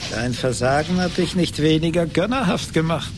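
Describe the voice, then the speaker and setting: An actor in a German radio play playing a gloating, vengeful rich man, condescending and deliberate. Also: animated, lightly acted. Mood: smug, gloating. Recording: noisy 1980s radio recording with rumble.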